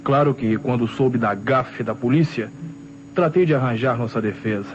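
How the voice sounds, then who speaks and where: A self-assured young Brazilian student played by a man in a vintage radio crime play. His mid-pitched voice argues articulately, on a dull old recording with faint noise. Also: moderate pace, close to an everyday voice. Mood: confident, protesting. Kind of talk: old Brazilian radio detective drama acting.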